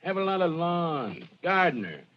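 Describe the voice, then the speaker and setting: An actor playing a brash, mocking middle-aged American racketeer in 1940s radio style, talking tough and slangy. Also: male voice, a little high, big pitch swings, normal speed. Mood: jovial, expansive. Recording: dull, noisy old radio recording.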